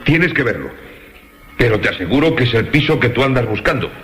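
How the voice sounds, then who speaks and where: Persuasive male pal for radio drama: eager, very animated pitch, reverberant sound. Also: brisk, soft background music. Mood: eager persuasion. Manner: enthusiastic, persuasive. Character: eager male friend talking up an apartment. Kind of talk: Spanish-language suspense radio drama acting. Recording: long reverberant radio production.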